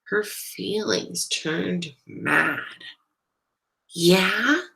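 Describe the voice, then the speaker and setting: A woman reading a picture book aloud to young children in an expressive, deliberate way, as a storyteller. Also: lowish voice, vocal fry. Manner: animated, deliberate, pauses to ask listeners questions.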